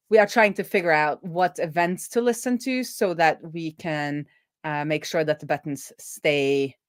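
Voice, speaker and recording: A woman's voice: on the low side, no echo, studio quality. A middle-aged woman chatting casually on a coding livestream as its host, thinking aloud in an informal way. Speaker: live coding streamer and host.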